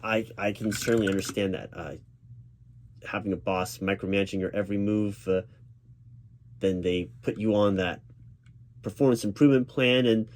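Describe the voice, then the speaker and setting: A man doing a spoken ASMR job interview roleplay as a reassuring, gently professional interviewer who speaks a little hesitantly. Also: on the low side, steady tone, moderate pace, long pauses. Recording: clear background noise of keyboard typing. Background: computer keyboard typing.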